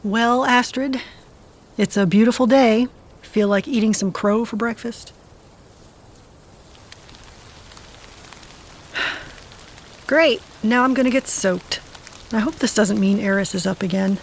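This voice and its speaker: A stubborn, wry woman with a slightly high voice. Her delivery is colloquial and sardonic, in audio drama style. Light rain and ambience sit behind her. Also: measured pace, slight background noise. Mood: wry, surprised.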